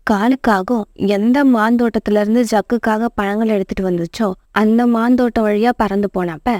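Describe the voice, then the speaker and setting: A woman's voice: medium pitch, fairly even intonation, fairly quick, a little mellow, dry recording, studio quality. A woman narrating a scripted moral story for children.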